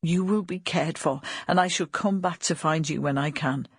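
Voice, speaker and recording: Woman as anguished father figure for audiobook, tender and tearful, low husky tone, dry close sound. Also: moderate pace, no echo. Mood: tearful, apologetic. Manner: choked with tears. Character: grieving man abandoning his child, tender and desperate.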